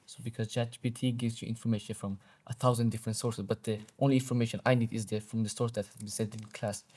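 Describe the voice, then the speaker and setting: A man's voice: medium pitch, level tone, fast talker, darkish tone. A teenage boy student explaining his views thoughtfully in an interview. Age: teens. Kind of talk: interview answer.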